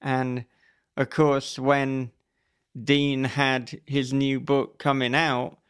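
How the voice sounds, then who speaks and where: A man hosting a podcast, talking conversationally in measured, considered phrases. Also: mid-range voice, completely flat delivery, a bit bright, smooth. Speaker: podcast host. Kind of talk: casual interview conversation.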